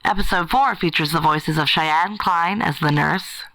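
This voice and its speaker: A woman's voice: low voice, normal speed, a bit bright, dry recording, poor audio. A woman reading scripted podcast credits as an announcer.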